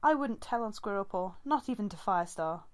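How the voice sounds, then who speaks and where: A serious young medicine cat from an animal storybook, read by a woman. She speaks gently and earnestly in a slightly raised voice, anxious and caring. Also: mid-range voice, animated, a little fast, voice pushed up, close to an everyday voice. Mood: bitter, upset. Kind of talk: audiobook character dialogue.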